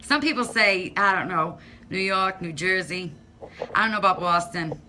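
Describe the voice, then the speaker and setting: A middle-aged woman from Massachusetts with a non-rhotic local accent, chatting casually on a phone live stream from her home, loud and talking to viewers by name. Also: lowish voice, moderate pace, slight background noise. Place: home, by a window. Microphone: phone held at arm's length.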